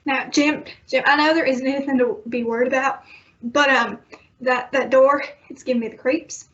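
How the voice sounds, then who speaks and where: High-pitched, nervous radio-play mother played by a woman. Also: steady tone, normal speed, fairly bright tone, distant mic. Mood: uneasy. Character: nervous, uneasy middle-aged wife and mother. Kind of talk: old-time radio family comedy acting. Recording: dull, muffled recording.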